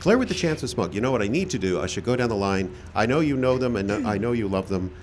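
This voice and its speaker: Animated male live radio show host. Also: lowish voice, fast talker, slight background noise. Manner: showman-like announcing, informal asides. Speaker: host and announcer. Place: outdoor park stage. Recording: live outdoor show with music behind.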